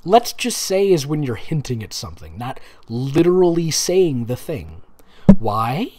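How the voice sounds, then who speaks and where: A man's voice: mid-range voice, sing-song, unhurried, very noisy. A man reading amateur fan fiction aloud sarcastically, pointing out typos and breaking off for casual commentary.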